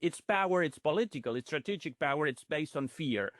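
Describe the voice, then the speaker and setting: A man who is a native Spanish speaker, giving spontaneous political commentary as an interview guest in a halting manner. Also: on the high side, animated, fairly bright tone. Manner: halting, with hesitations.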